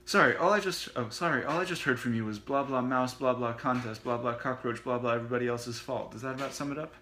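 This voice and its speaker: A man's voice: medium pitch, fast, pushed and bright, a little hiss or hum, lightly acted. A man voicing a sarcastic, dismissive young woman.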